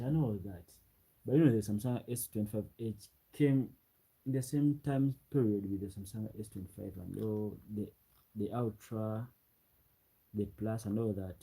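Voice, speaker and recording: A young man with a very dark, husky voice with a gravelly edge. He chats in a relaxed, rambling way on a late-night livestream and often repeats his phrases. His voice sits a little low. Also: rough recording. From Uganda. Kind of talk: late-night livestream chat, reading comments.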